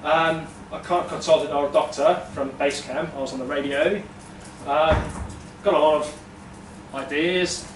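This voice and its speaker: A man's voice: on the high side, fairly even intonation, measured pace. A man in his thirties from Denmark telling a personal story to a live audience, chatty and self-deprecating with many ums. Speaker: storytelling event speaker. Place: small brick-walled event room, indoors. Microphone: far room mic. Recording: echoey room, distant mic, noisy.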